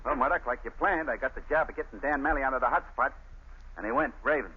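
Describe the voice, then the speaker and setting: An actor playing a sly prison guard who works for a crook, in a 1930s radio drama. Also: male voice, mid-range voice, fast, close to an everyday voice. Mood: scheming. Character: Gus, male prison henchman, sly. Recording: tinny, hissy 1930s radio recording.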